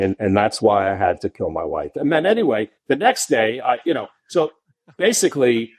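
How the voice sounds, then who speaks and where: A middle-aged man, a podcast guest telling long animated anecdotes full of restarts. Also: no echo. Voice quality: deep voice. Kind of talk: casual storytelling interview.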